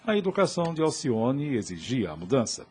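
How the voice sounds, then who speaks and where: A man's voice: medium pitch, big pitch swings, fairly quick, darkish tone, breathy edge. A man with a Brazilian accent giving scripted dramatic narration as the storyteller of an audio drama.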